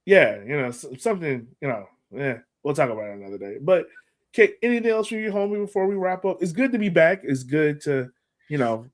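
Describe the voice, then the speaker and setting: A man's voice: a little high, very animated, fairly quick. A man hosting a casual soccer podcast, chatting in a slangy, informal way. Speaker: podcast host.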